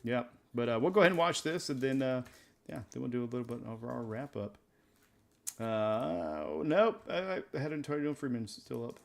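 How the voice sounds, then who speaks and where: A man hosts a casual sports podcast in an easygoing, laid-back way. His voice sits at a middle pitch and he laughs easily between loose, rambling remarks. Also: animated, moderate pace, gravelly. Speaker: podcast host.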